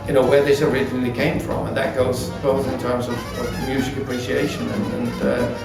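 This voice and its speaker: Male interviewee, designer type: gravelly, thoughtful, halting delivery, recorded in an echoey room. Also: medium pitch, flat delivery. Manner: hesitant, reflective, often rephrasing mid-sentence. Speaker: audio company designer being interviewed. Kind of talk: unscripted explanation in an interview. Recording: roomy echo with music behind.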